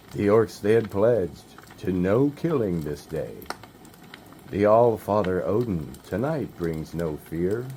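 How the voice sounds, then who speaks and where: Slow, deep-voiced man reading a poem. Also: warm tone, a little hiss or hum. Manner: slow, measured storybook reading. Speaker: narrator. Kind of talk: rhyming holiday poem reading.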